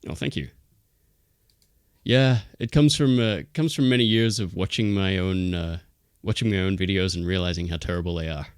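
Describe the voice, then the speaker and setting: A man hosting a livestream, chatting in a relaxed, self-deprecating way. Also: sits low, normal speed, smooth, no room sound, clean studio sound. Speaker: show host. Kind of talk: casual livestream chat.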